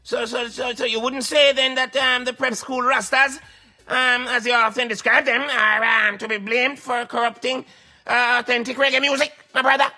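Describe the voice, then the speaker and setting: A man voicing a hesitant, inquisitive radio sidekick who asks questions in a halting, deferential way. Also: extremely high pitch, little pitch movement, sharp tone, faint music behind, pitched above the performer's own voice, full character voice.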